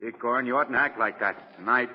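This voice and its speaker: Husky, anxious man playing a condemned prisoner. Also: mid-range voice, brisk, close to an everyday voice. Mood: dejected, weary. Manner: pleading, on edge. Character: anxious, dejected male death-row inmate. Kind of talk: 1940s radio drama acting. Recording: muffled old radio, long reverb.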